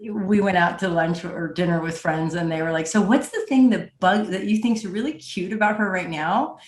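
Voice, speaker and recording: A woman's voice: lowish voice, normal speed. A woman hosting a podcast, interviewing in a conversational way, recorded with an echoey room sound.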